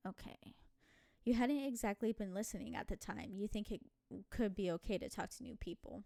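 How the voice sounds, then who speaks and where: A woman's voice: mid-range voice, mellow, no room sound, clean studio sound. A woman playing a story game, reading the narration aloud and adding casual, giggly asides. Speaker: video game streamer.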